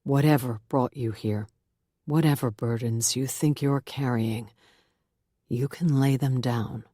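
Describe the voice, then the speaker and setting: A gentle, fatherly church pastor, put on by a woman reading the book, speaks in a lowered, unhurried voice. His manner is soothing and patient. The sound is dry and close, with no room around it. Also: slightly rough, dry recording, heavily acted. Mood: gentle, reassuring. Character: warm, patient male pastor, middle-aged.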